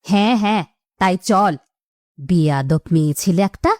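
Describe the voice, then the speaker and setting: A woman's voice: on the low side, a little slow, a little mellow, dry recording, clean studio sound, natural voice. A woman voicing an easily shocked middle-aged village woman in a melodramatic cartoon, exclaiming and repeating her words. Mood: scolding.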